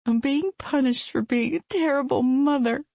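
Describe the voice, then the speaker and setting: A woman's voice: high voice, moderate pace, gravelly, warm tone, no room sound, highs cut off, voice pushed up. A woman reading a distraught young mother who blames herself, her voice pleading and near breaking. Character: distraught young mother, tearful and self-blaming.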